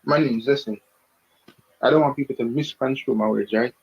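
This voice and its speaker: Casting: male phone-in pundit, rough-edged husky voice, deliberate and opinionated, on dull call audio. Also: medium pitch. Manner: deliberate, checks in with "right?". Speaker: caller giving football opinions. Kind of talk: opinionated, explanatory sports talk.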